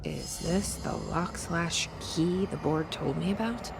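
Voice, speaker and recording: Hushed, low, gravelly woman's inner thoughts. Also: slow pace, heavy background noise. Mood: wondering. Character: guarded young woman director's private inner thoughts. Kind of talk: hushed inner monologue in a game.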